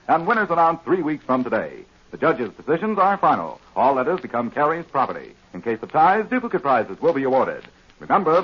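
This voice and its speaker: A man's voice: fairly high pitch, slightly rough. A man reading sponsor messages as an announcer in 1940s radio advertising style. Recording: dull 1940s radio recording.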